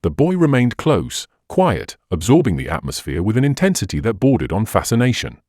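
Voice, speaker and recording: A male audiobook narrator tells a detective mystery in a measured, dramatic way. His voice sits on the low side and swings widely in intonation. The recording is very dry. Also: wildly swooping pitch, a little mellow, dry recording.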